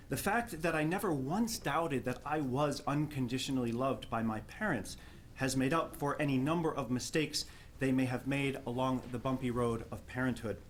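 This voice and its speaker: A man's voice: medium pitch, a little hiss or hum. A man from New Jersey with a New Jersey accent, giving an articulate, wry prepared talk as an academic respondent at a live event. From Jersey City, New Jersey, USA. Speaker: professor of theology, respondent. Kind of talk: prepared speech read to an audience. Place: live public talk before an audience.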